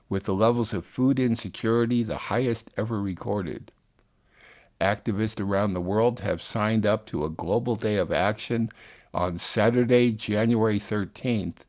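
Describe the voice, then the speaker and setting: A man's voice: on the low side, darkish tone. A man hosting a radio show, reading scripted announcements and introductions to news segments. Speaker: radio show host and producer.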